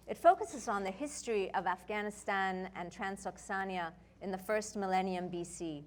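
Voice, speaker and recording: A woman's voice: medium pitch, moderate pace. A woman moderating a conference panel, formally reading a speaker's introduction. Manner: formal, reads a prepared introduction. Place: lecture hall.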